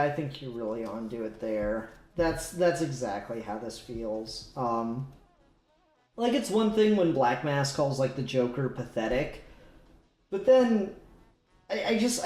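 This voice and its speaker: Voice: medium pitch, a little mellow, echoey room. A podcast co-host in casual, agreeable conversation about comic books. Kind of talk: casual comic book discussion.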